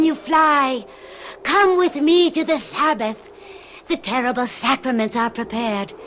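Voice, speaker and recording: Very high-pitched, slow-chanting seductive witch. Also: fairly bright tone, slight background noise, full character voice. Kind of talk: old-time radio horror acting.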